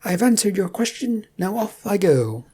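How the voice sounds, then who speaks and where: A woman's voice: mid-range voice, very animated, moderate pace, warm tone, husky, voice pushed up. A woman voicing a cocky young male squire in a fantasy story, confident and demanding. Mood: haughty.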